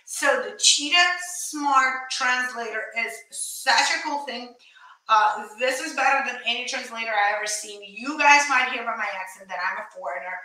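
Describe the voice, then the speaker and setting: A woman in her thirties hosting a live shopping stream, chatty and excited, talking straight to her viewers from a desk in a small home office. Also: on the high side, normal speed. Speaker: livestream shopping host. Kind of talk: casual live stream chat. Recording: echoey room, mic far off.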